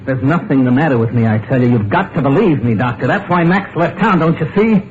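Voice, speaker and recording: An actor playing a frantic, hunted husband in an old-time radio mystery, urgent and melodramatic in delivery. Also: male voice, mid-range voice, animated, a little fast, background noise, close to an everyday voice. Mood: desperate, agitated. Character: frantic, hunted husband fearing he will be killed. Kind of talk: 1940s-50s radio drama acting, first-person narration and dialogue. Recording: tinny old radio recording with loud music.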